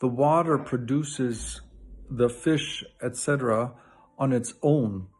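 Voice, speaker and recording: Husky-voiced Canadian man teaching Scripture. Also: medium pitch, takes it slowly. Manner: enthusiastic, warm-hearted, with frequent restarts. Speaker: professor and lay preacher. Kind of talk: recorded greeting and Bible teaching.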